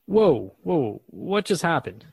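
A man's voice: a little high, very animated, slow pace, creaky, a little mellow, slightly rough, no room sound, full character voice. Curious radio host, dry close sound man acting. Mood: bewildered. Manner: inquisitive, conversational. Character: earnest, curious male radio host questioning a spirit guest. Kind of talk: scripted radio drama as talk show.

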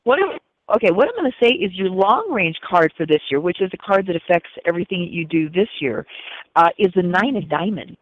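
A woman's voice: on the low side, big pitch swings, dry recording. Upbeat woman co-host reading cards on air, dull dry sound. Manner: quick, upbeat, thinks aloud. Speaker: card reader, co-host. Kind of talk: call-in radio chat.

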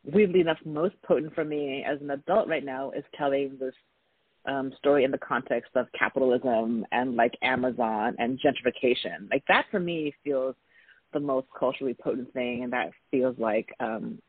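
Husky man talking over a phone line. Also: medium pitch, moderate pace. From Taiwan. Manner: thoughtful, rambling, with soft laughs. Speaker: guest artist. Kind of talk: casual interview talk.

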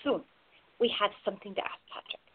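A woman playing a wry, devoted lover in a radio play, husky-voiced, with dry, understated banter. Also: fast, dry recording, lightly acted. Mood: matter-of-fact. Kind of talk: amateur radio play acting. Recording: thin phone-like audio, dry.

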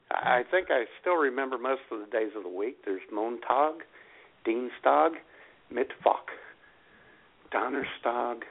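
Male comedy radio co-host: animated, mid-pitched, casual banter, narrow telephone-like sound. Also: takes it slowly, creaky, long pauses. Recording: thin phone-like band-limited radio audio.

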